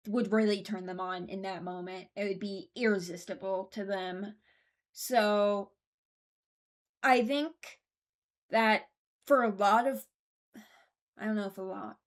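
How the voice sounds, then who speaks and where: Woman, casual ranking commentary, unhurried pace, medium pitch, hesitant, thinking aloud. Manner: hesitant, deliberating, self-correcting. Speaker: host ranking game voice lines.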